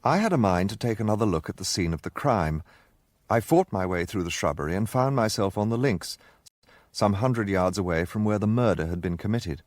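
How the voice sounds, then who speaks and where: An actor playing a gentlemanly narrator who recounts his own adventure as scripted first-person radio drama narration. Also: fairly low pitch, expressive, a little fast, dry recording, clean studio sound, lightly acted. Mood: reflective, recounting.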